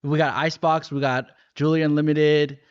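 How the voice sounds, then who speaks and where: Fast-talking man in his thirties. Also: fairly high pitch, level tone, clear, resonant tone, no echo, muffled recording. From Atlanta, USA. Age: 30s. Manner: quick, joking, laid-back slang. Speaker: podcast host. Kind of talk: casual podcast interview.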